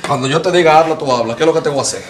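A man with a Dominican accent playing a barber in a TV comedy sketch, loud, bossy and exasperated. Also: fairly high pitch, racing, background noise. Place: TV studio barbershop set. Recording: roomy studio with loud audience laughter.

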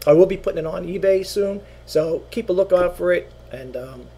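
A man's voice: sits high, moderate pace, warm tone, breathy edge. A middle-aged man casually showing items he is selling online from a small home office, rambling with many fillers and repeats, his voice wearing thin. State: losing his voice. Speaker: online seller. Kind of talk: casual product walkthrough. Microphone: webcam at desk.

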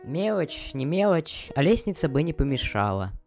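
A firm young cartoon girl with a raised, bright-edged voice, as a man puts her on. She objects readily and speaks her mind plainly. Faint music runs underneath. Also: a little high, big pitch swings, normal speed, vocal fry, pitched above the performer's own voice. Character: earnest young girl Zhenya, talkative. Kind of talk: amateur cartoon voice acting.

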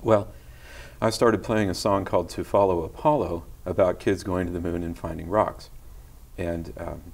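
A middle-aged man speaking gently as a guest in a TV studio interview, with many small hesitations, on a lapel mic. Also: deep voice, steady tone, normal speed, creaky. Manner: gentle, soft-spoken storytelling with hesitations. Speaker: guest musician. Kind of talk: casual interview talk. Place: tv studio set.

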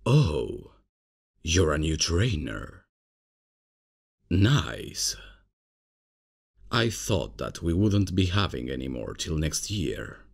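A man playing a cocky, casual, unprofessional substitute professor in an ASMR comedy roleplay, with a husky, gravelly voice and a hesitant, improvising way of talking. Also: very deep voice, sing-song, extremely slow, pauses often, heavily acted. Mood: casual, friendly. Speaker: roleplay performer.

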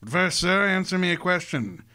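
An actor playing a jovial, wordy, wealthy fat crime boss in a radio drama, speaking with effusive, genteel relish. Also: male voice, sits high, very animated, moderate pace, pushed and bright, full character voice. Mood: jovial, probing. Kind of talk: old-time radio drama acting.

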